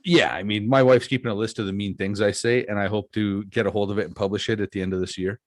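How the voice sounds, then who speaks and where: A man's voice: lowish voice, steady tone, fast, no echo, clean studio sound. A man in his forties hosting a podcast, chatting casually in a jokey, self-deprecating, rambling way. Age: 40s. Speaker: podcast host, wrestler. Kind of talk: casual chat.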